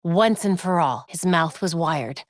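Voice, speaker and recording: A woman's voice: on the low side, lively intonation, measured pace, no echo, clean studio sound. An actress voicing a witty, determined young female vigilante and detective in scripted video game lines, with a wry, earnest delivery. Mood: wry, focused. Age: young adult.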